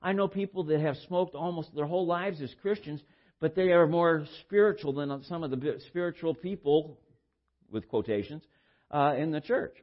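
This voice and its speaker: An older man with a baritone voice preaching informally, halting and repeating words as he shares personal stories in a conversational way. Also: dull audio. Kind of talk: informal sermon with personal anecdotes.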